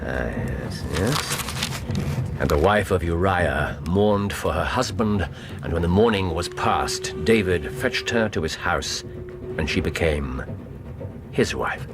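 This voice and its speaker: An actor playing a brilliant, self-assured English gentleman detective in a radio drama, with a clipped, wry, musing manner. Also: low voice, expressive, slow pace, full character voice. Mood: pleased, reflective.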